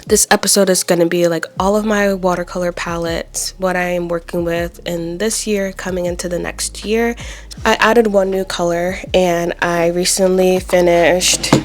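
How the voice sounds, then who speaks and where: Raspy-voiced young woman vlogging casually. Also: on the low side, little pitch movement, normal speed. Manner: rambling, with frequent "like" and "um". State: raspy from not talking all day. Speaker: pottery and watercolor artist vlogger. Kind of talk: casual chatty vlog talk.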